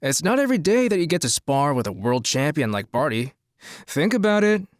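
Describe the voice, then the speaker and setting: An actor playing a persuasive, scheming rich promoter in an audio drama, talking in a businesslike way. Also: male voice, a little high, big pitch swings, fairly quick, no room sound, studio quality.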